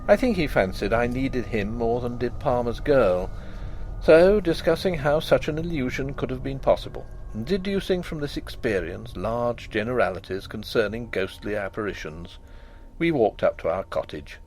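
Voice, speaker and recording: A man's voice: medium pitch, lively intonation, moderate pace, darkish tone, slight background noise. Man narrating a gothic ghost story. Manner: dramatic, suspenseful reading.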